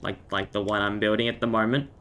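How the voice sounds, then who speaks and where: Croaky Australian man streaming a game. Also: fairly low pitch, little pitch movement, fast, a bit bright. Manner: joking, playful, self-mocking. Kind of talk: casual gaming chat.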